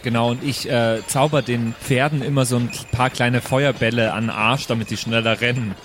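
A man's voice: mid-range voice, steady tone, normal speed, fairly bright tone, a little hiss or hum. A man playing an improvised tabletop game, chatting casually and explaining things in rambling detail. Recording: music under the voice. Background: music.

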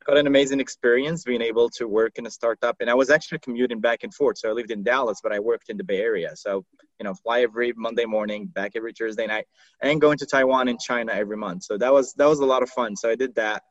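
A man from Morocco whose first languages are Arabic and French, a podcast guest telling his career story in a relaxed, steady way. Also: medium pitch, fast, dry recording, dull audio. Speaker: podcast guest, marketing executive. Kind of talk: casual interview chat.

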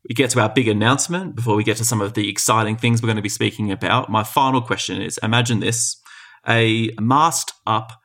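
A man's voice: fairly low pitch, fairly quick, a bit bright. A man hosting a podcast, talking in a friendly, conversational way and thinking aloud as he asks questions. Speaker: podcast host. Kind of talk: podcast interview.

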